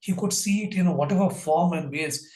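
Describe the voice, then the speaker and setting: A middle-aged man with an Indian English accent speaks in a husky, fairly high voice. He retells an old story in a loose, rambling way, full of "you know" fillers. His voice comes over a video call from an echoey room. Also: fairly quick, darkish tone. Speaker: guest.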